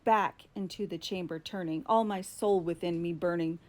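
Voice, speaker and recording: A woman giving a dramatic, deliberate recitation of gothic poetry, with drawn-out phrasing and strong emphasis. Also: fairly low pitch, fairly even intonation, darkish tone. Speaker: poetry reader.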